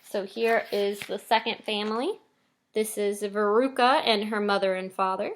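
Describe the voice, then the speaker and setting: A woman's voice: mid-range voice, steady tone, normal speed. A woman reading a children's storybook aloud expressively, like a teacher to students.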